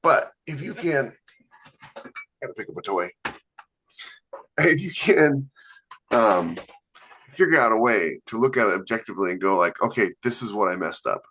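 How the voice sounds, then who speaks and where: A man's voice: on the low side, lively intonation, moderate pace, long pauses, pushed and bright, slight background noise. A man co-hosting a podcast as an author, talking in casual chat and driving his points home by repeating them. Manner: emphatic, repeats points for stress.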